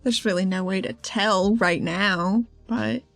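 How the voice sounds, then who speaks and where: A woman's voice: medium pitch, fairly even intonation, takes it slowly, gravelly, no room sound, faint music behind. A woman playing a tabletop roleplaying game, chatting casually and expressively with a playful way of talking.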